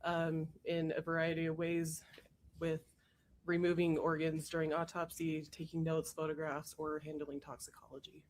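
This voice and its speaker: A woman testifying as a witness in a courtroom, hoarse from a cold, giving careful, matter-of-fact answers. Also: deep voice, completely flat delivery, moderate pace, a little hiss or hum. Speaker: trial witness, forensic technician.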